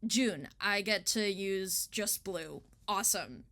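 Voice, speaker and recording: A young woman with a bright, forward voice chats casually as an interviewed artist. Her talk is informal and slangy, with a giggly manner. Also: mid-range voice, animated, slow pace. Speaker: interviewed illustrator.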